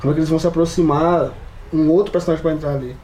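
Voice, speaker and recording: A man's voice: a little high, fairly even intonation, very fast, dark tone, low-quality recording. A man with a Brazilian Portuguese accent chatting casually as a podcast co-host and game master.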